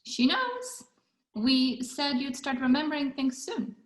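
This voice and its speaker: A woman's voice: sits high, sing-song, slow pace, exaggerated voice. A woman reading the part of a wry, frank woman in her early twenties at a script table read. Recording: roomy, echoing sound.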